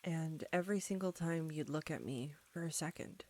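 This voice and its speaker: A woman playing an embarrassed, anxious young roommate in an intimate audio roleplay, speaking softly and haltingly straight to the listener. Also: sits low. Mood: sheepish confessing. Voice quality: soft. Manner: halting, flustered, nervous stumbling.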